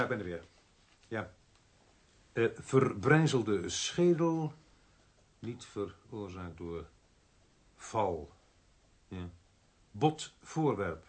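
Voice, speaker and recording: An actor playing a brisk, irritable police inspector in a radio crime drama, speaking curtly with a slightly husky voice. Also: male voice, on the low side, full character voice. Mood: focused. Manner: curt, questioning, authoritative.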